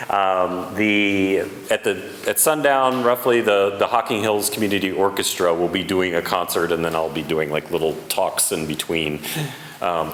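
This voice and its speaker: A man's voice: low-pitched, fairly bright tone. A man giving an easygoing update at a club meeting, chatting with the group, in a large meeting hall. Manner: easygoing, conversational, with fillers. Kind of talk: casual announcement at a club meeting. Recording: roomy hall with faint noise.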